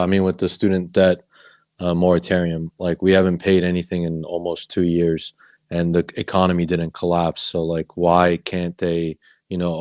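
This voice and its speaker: Very deep, flat-voiced man on a call. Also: no echo, clean studio sound. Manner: hesitant, many fillers and restarts. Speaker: student debt organizer. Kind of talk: panel introduction. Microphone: video call.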